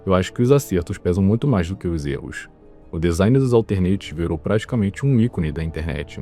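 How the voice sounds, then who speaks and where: Man, Brazilian accent, low mellow voice, scripted video essay narration, measured and explanatory. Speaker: video host presenting a ranking. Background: background music.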